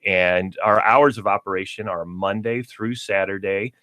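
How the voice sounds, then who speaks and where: A man's voice: lowish voice, unhurried, no echo. A man appearing as a guest on a local radio show, chatting casually about his pet store in an upbeat way. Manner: upbeat and chatty, with bursts of excitement.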